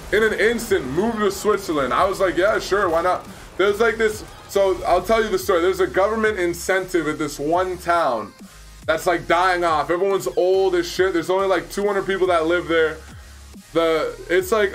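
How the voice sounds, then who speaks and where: A man's voice: high-pitched, a bit bright. A young man in his twenties hosting a casual gaming livestream, chatting loosely and answering his viewers, with frequent laughs, on a headset mic in a small room. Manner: chatty, reads and answers chat, laughs often. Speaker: livestream host.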